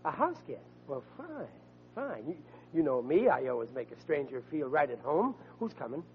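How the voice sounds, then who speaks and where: A man's voice: on the high side, big pitch swings, moderate pace. An actor playing a grouchy, gullible family man and father who blusters in old-time radio sitcom style. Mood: wary. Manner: blustering, swinging from outrage to easy mollification. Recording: noisy, muffled vintage radio recording with hum.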